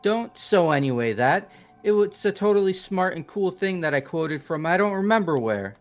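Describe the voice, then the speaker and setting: A man's voice: sits high, animated, normal speed, soft background music. A man voicing a brash, casual, skeptical teenage boy with an offhand, argumentative manner, in amateur game voice acting. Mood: cocky.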